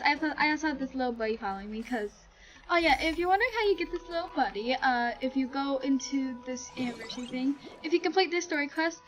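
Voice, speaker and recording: A woman chatting casually to viewers as she introduces a gameplay video. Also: high-pitched.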